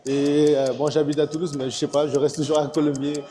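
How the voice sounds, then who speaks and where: A man's voice: a little high, level tone, brisk, warm tone, faint music behind. A man in his thirties from French Guiana, a personal trainer chatting casually in a large gym, hesitant with many fillers. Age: 30s. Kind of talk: casual chat.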